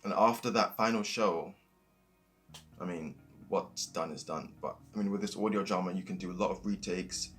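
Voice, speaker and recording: A man's voice: deep voice, fairly even intonation, normal speed, fairly bright tone, soft background music. A young man talking informally about acting, often repeating and rephrasing himself. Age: young adult. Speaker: youth theatre actor. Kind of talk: informal interview-style reflection. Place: at home.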